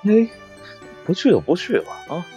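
A man's voice: high voice, huge pitch swings, moderate pace, mellow, highs cut off, soft background music, voice pushed up. A man playing a flustered, blustering young man who stammers out lame excuses in an audio drama. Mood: evasive, flustered. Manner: exclamatory, stammering, colloquial. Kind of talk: live audio drama acting.

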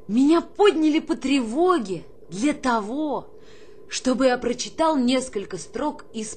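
A woman's voice: a little high, a little hiss or hum. A woman playing a dreamy, plaintive young soldier with poetic, theatrical delivery in a radio drama. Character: young male conscript, a dreamy, pleading, whiny would-be playwright.

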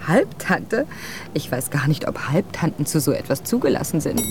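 An actress playing a bossy, impatient female teacher in a radio drama, briskly ordering children about and talking down to them. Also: deep voice, sing-song, very noisy. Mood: condescending. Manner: brisk, scolding, talks down to children.

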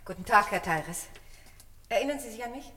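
A woman's voice: on the high side, lively intonation, brisk, sharp tone, a little hiss or hum. Sceptical, probing female reporter. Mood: polite, brisk. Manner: direct, probing, wryly teasing. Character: sceptical, curious, plucky female radio reporter. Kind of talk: German radio play acting.

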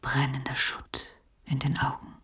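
An actress playing a fragile, fearful, trancelike patient in a German radio drama, halting and whispery. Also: female voice, a bass voice, completely flat delivery, sharp tone, recorded from a distance, exaggerated voice. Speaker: actor.

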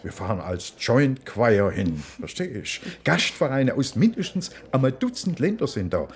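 An eager police inspector in a radio play. His pitch moves freely as he insists and repeats himself, tender underneath. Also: sing-song, unhurried. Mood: eager, persuasive. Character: enthusiastic, tender male police inspector and choir member. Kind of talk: naturalistic radio drama acting.

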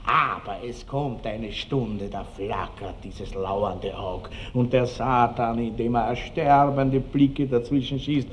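A man's voice: mid-range voice, fairly even intonation, slow pace, hardly any pauses, lightly acted. A man acting a lecherous Austrian country baron in a vintage radio play. He is pompous and earthy, boasting at length and relishing every word. The old recording carries faint noise. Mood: lustful relish. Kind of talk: classic radio drama acting. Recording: noisy old 1960s radio drama recording.

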